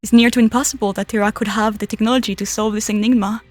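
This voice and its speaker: A woman playing an analytical, questioning character in a fan-dubbed game story, with a measured manner. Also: a little high, fairly even intonation, close to an everyday voice. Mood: incredulous. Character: incredulous, analytical female questioner. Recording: faint background music.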